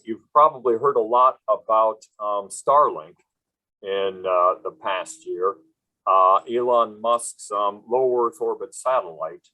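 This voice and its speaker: A man's voice: fairly low pitch, bright, forceful tone, rough recording. A man from Wisconsin giving a presentation on a video call, rambling with many fillers and restarts. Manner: rambling, with frequent fillers and self-corrections. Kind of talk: webinar presentation.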